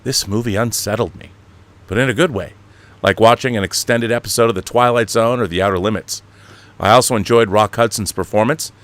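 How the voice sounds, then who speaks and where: A man's voice: on the low side, fairly quick, a bit bright. An American man hosting an online show in a casual, rambling monologue to his viewers, with a grandiose, playful showman's manner.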